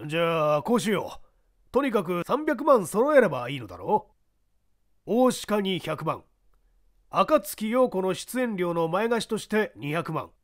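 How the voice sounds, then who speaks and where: A man plays a bold company president in an audio story. His raised, animated voice is brusque and authoritative, laying down decisions. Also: high-pitched, a little slow, pitched above the performer's own voice. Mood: decisive.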